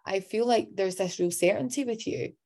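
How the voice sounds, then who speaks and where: A woman in her forties hosts a podcast with an enthusiastic, affirming manner toward her guest. Her voice sits at an average pitch for a woman. She keeps the talk casual and conversational. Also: normal speed, mellow. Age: 40s. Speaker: podcast host. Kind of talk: casual interview.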